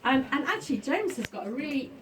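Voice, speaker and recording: A woman informally introducing an author at a live event, halting as she searches for words. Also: sits high, big pitch swings. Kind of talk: informal spoken introduction to an audience. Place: bookshop book launch event. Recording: distant mic, faint room noise.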